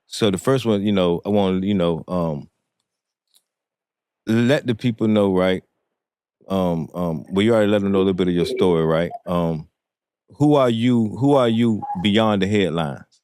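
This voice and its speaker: Low-voiced man interviewing, halting delivery. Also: a little mellow, clean tone. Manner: halting, repeats openings of phrases. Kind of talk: informal unscripted interview.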